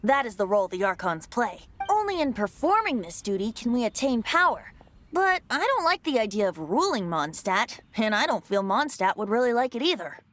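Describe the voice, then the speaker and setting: A woman's voice: fairly high pitch, sing-song, moderate pace, fairly bright tone, a little hiss or hum. A woman voicing a carefree young male bard god in a video game, light and playful in manner. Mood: explaining. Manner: light, playful, airy delivery. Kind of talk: video game dub acting.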